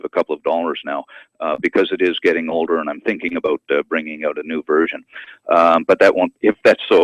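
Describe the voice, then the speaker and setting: A man speaking as an expert guest in a radio interview, explaining in a measured, educational manner. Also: deep voice, dry recording, dull audio. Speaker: guest expert, university professor and author.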